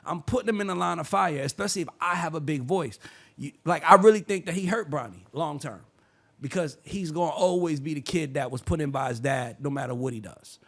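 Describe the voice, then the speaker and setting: A man hosting a sports opinion show, arguing his case in a heated, preachy way and sometimes building to shouts. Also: on the high side, fairly quick, vocal fry. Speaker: show host.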